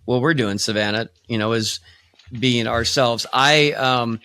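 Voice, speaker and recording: A man's voice: mid-range voice, unhurried, bright, forceful tone, smooth, no room sound. A man hosting a conversational podcast interview in his natural, unaltered speaking voice. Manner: speaks in natural, unaltered voice when presenting feminine.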